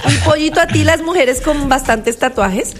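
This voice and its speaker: A woman's voice: sits high, moderate pace, music in the background. A woman with a Colombian accent hosting a casual group chat. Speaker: show host.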